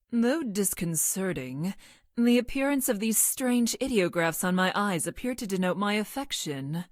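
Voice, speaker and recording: A woman playing a logical young woman scientist from the future in a video game, speaking in formal, clinical, precise deadpan. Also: mid-range voice, no room sound, clean studio sound, natural voice. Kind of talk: video game character voice lines.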